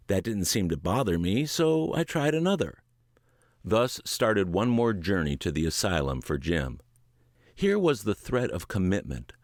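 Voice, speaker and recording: A man reading a book aloud word for word, in a measured, earnest and emphatic way. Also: on the low side, no room sound, studio quality. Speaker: audiobook reader.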